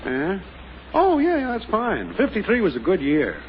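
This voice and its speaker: A man's voice: sits high, huge pitch swings, normal speed, very noisy. An actor playing a meddling, hearty know-it-all male neighbor in a 1950s radio sitcom, pushy and teasing. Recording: muffled lo-fi 1950 radio broadcast.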